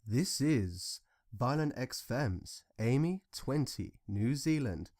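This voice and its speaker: A man's voice: mid-range voice, animated, takes it slowly, a little mellow. A young man from England with a southern English accent, giving casual ranting commentary in an informal, sarcastic, sweary way. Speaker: commentator reacting to social media posts.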